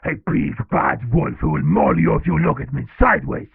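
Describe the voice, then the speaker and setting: An actor playing a bitter, caged werewolf who snarls mocking threats in an audio drama, through a radio-like filter. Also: male voice, medium pitch, sing-song, brisk, vocal fry, slightly rough, no room sound, narrow, tinny audio, full character voice. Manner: mocking, menacing taunts. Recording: thin, noisy, radio-like band-limited sound.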